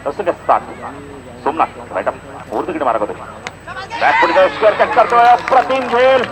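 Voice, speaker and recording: Male cricket commentator, fairly high voice, live at an outdoor local tournament with clear crowd noise. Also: big pitch swings, a little fast. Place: outdoor village cricket ground. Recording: outdoor event sound, crowd behind.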